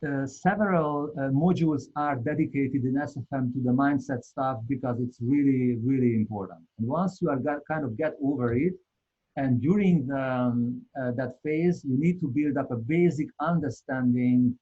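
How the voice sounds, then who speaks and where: A man with a Hungarian accent presenting a live webinar, talking earnestly and haltingly with many repeated words. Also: medium pitch, slightly rough, phone-quality sound.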